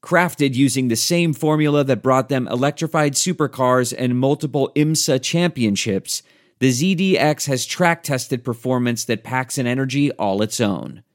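A man with a mid-pitched voice delivers scripted commercial narration. He reads as an announcer for a car ad. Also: normal speed. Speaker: advertisement announcer.

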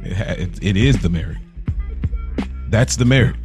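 A man's voice: on the low side, lively intonation, moderate pace, over music. A man co-hosting a podcast in earnest, casual chat, often repeating and rephrasing himself as he goes. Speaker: podcast co-host.

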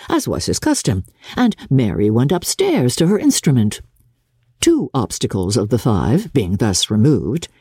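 A woman narrating classic literature as a dramatic reading, in a measured, expressive storytelling manner. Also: rumbling low, slightly rough, no room sound, clean studio sound. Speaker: audiobook narrator.

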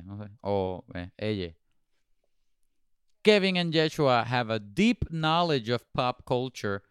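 Very clear-voiced podcast host. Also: male voice, mid-range voice, dry recording, clean studio sound. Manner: playful, exclamatory, laughs often. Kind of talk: casual podcast chat mixing Spanish and English.

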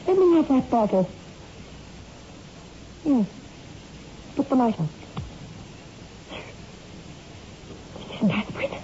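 An actress playing a frightened, accusing wife in a 1960s radio horror drama, with emphatic, melodramatic delivery. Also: female voice, high voice, big pitch swings, normal speed, dark tone. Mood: suspicious. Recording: muffled, noisy old radio recording.

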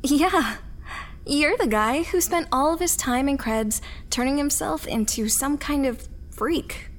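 A hard-up mother from a futuristic slum, pitched high. She is blunt and guarded, with a bitter, sardonic edge suited to audio fiction. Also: measured pace. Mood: accusing.

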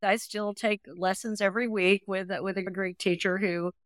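A woman's voice: medium pitch, steady tone, normal speed, no echo, studio quality. A woman in her sixties telling personal stories as an interview guest, earnest and talkative. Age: 60s. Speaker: interview guest, adoptee advocate. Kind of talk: conversational personal storytelling.

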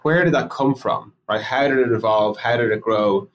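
A man with a middle-pitched voice talks through ideas in a loose podcast conversation. He gets animated, stacking rhetorical questions and voicing what colleagues might say. A faint hiss sits under his voice. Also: moderate pace. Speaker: podcast co-host discussing product.

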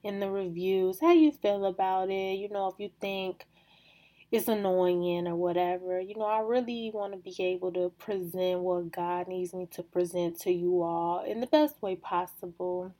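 A woman hosting a faith podcast, talking in a calm, even, monotone way with casual fillers. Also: on the low side, moderate pace. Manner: calm, self-described monotone delivery; casual, with frequent fillers like "you know" and "like". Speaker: podcast host.

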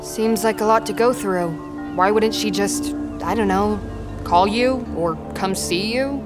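A woman's voice: medium pitch, measured pace, over music, close to an everyday voice. A woman playing a snarky, plucky teenage girl in an audio drama, talking in sarcastic slang. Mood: serious.

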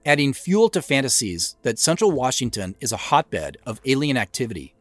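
Man, scripted commentary narration, show creator, animated intonation, music underneath. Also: medium pitch, normal speed.

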